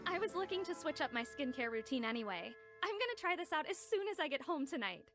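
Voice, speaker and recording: A woman's voice: sits high, big pitch swings, fast, fairly bright tone, soft background music. A woman voicing a curious, eager shopper in an anime video game.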